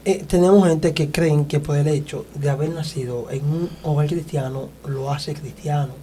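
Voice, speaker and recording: Mellow-voiced male evangelist host. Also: a little high, fairly even intonation, normal speed, a little hiss or hum. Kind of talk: church interview hosting.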